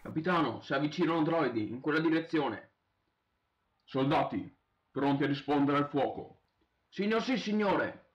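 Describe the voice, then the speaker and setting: A man's voice: fairly high pitch, expressive, moderate pace, pauses often, close to an everyday voice. Man as an alert soldier, distant and muffled. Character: alert clone soldiers and officer readying for battle. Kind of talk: amateur stop-motion fan dub. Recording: distant, dull, echoey home recording.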